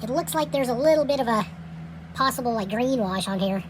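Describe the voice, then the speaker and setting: A woman's voice: a little high, a little fast. Chatty woman unboxing with background noise. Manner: relaxed, chatty, with frequent fillers and trailing phrases. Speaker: toy reviewer. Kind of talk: casual unboxing commentary. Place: indoors at night. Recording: home recording with steady background noise.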